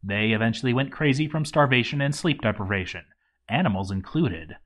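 A man giving an expressive scripted reading of a game story, with wry, deadpan asides. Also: mid-range voice, fairly quick. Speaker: let's-read narrator.

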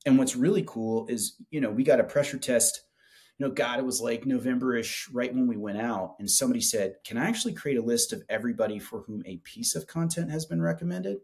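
A man in his forties making a casual, enthusiastic product pitch in conversation, speaking colloquially on a video call. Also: fairly quick, vocal fry, darkish tone. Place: small office with whiteboard, indoors.

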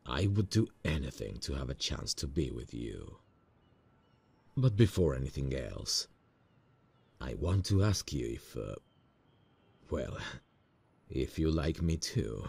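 A man playing a nervous, lovestruck boss in an intimate romantic ASMR roleplay, speaking hesitantly and tenderly in a husky voice. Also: very animated, full character voice. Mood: earnest, hesitant. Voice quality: very deep, gravelly, husky. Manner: hesitant, slow, soft-hearted. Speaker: voice actor in romantic audio roleplay.

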